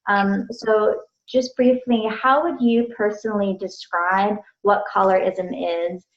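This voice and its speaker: A woman's voice: mid-range voice, steady tone, a little slow. Woman moderator in an echoey, muffled room. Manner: filler-heavy, explanatory. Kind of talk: webinar moderation. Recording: echoey, muffled call audio.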